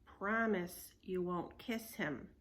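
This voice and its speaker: A woman voicing a cautious, bossy young boy, urgent and often hushed, in a children's storybook. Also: lowish voice, slow pace, slight background noise. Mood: exasperated, stern. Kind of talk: children's storybook read-aloud.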